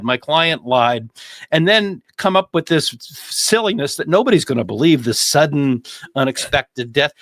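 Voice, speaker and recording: A man's voice: a little high, fairly bright tone, dry recording. A man hosting a legal commentary show, talking conversationally, often repeating phrases and posing rhetorical questions.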